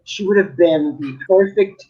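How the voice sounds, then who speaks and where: A New man chats and tells stories on a live video call, his voice husky and slightly breathy. The audio is a little dull and muffled. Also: high voice, a little slow. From Manhattan. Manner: chatty storyteller, laughs often. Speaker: artist. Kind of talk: casual live-stream chat.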